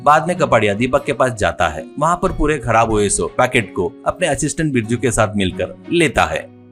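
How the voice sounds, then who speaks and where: A man's voice: medium pitch, sing-song, normal speed, fairly bright tone, faint music behind. A man telling a scripted folk-style moral story as narrator.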